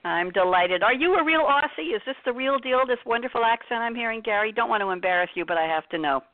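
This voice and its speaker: A woman's voice: a little high, lively intonation, fast talker, no room sound. A bubbly woman hosts a radio talk show, chatting with a guest and laughing easily. Her voice is dry and close, squeezed through a thin, phone-like band. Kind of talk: casual radio interview. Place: radio studio in New York. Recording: phone-quality radio audio.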